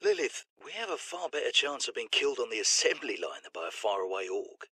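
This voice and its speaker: A man's voice: mid-range voice, very animated, brisk, bright, forceful tone, slightly rough, no echo, full character voice. A man playing an unworried, dismissive factory worker in a sci-fi game.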